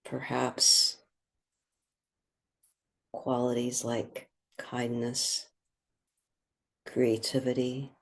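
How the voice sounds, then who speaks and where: A meditation guide speaking in a gentle, contemplative, soothing and measured way, with long pauses between phrases. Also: mid-range voice, monotone, gravelly.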